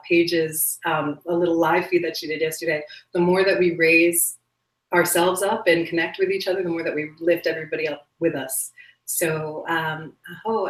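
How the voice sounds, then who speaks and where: Woman host, low voice, soft and dreamy, loose with fillers, roomy echo. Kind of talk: live spiritual broadcast, casual chat. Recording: echoey room.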